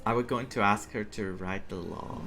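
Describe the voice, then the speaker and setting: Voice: sits low, normal speed. A game streamer giving casual playthrough commentary, thinking aloud and reacting to the story. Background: game music.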